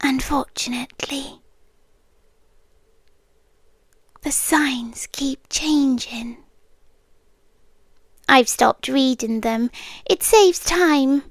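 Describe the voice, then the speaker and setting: A British woman playing an eerily calm, soothing doctor with a hidden possessive streak, in a soft, breathy, whispered ASMR roleplay, close to the mic. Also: sits high, slow pace, pauses often, no echo, exaggerated voice. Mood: calm, unsettling. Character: softly menacing, falsely soothing female hospital doctor, possessive girlfriend. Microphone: close, dry ASMR microphone.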